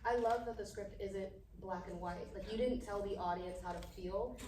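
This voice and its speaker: A woman's voice: medium pitch, normal speed. A woman, an actor, giving casual comments in a panel discussion. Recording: echoey room, distant mic, faint noise.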